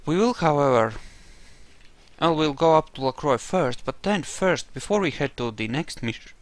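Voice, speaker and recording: A man's voice: on the high side, animated, normal speed, no room sound. A man giving casual commentary while he plays through a video game in a roleplaying style. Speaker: gamer doing a roleplay playthrough.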